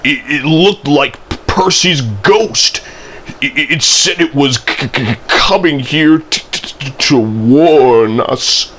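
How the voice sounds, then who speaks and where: A man voicing an earnest, nervous tram engine in a children's story, with a halting, exclamatory way of speaking. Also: sits high, animated, pushed and bright, a little hiss or hum, voice pushed up, heavily acted. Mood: frightened.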